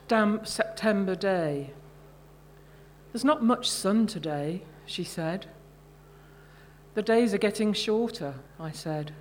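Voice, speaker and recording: Slow-paced woman reading her story. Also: medium pitch, slight background noise. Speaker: author reading from own short stories. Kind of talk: live literary reading.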